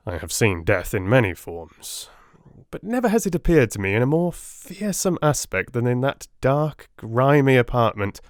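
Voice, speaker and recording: A man narrating a dramatic audiobook with theatrical, deliberate phrasing. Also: on the low side, dry recording, studio quality.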